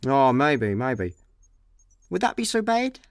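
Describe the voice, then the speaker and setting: A man's voice: medium pitch, very animated, takes it slowly, clear, resonant tone, dry recording. A young Scottish man playing an idle, agreeable space slacker in a comic radio play, chatting casually. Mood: casual, musing. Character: laid-back, idle male space slacker, mildly eager. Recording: dry studio, faint music behind.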